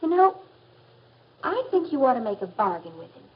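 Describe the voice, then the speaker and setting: A woman's voice: fairly high pitch, sing-song, moderate pace. An American woman acting as an assured teenage girl confidently advising her friend in a 1950s educational film. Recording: dull, muffled 1950s film soundtrack.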